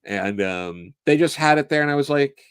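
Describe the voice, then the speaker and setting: A man's voice: medium pitch, lively intonation, moderate pace, smooth, no echo, clean studio sound. A man appearing as a guest in a casual chat, talking in an enthusiastic, rambling way with casual swearing. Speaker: guest, comics writer.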